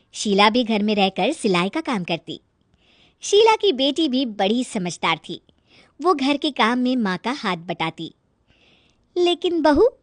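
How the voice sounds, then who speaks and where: High lively woman narrating a story. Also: dry recording, studio quality.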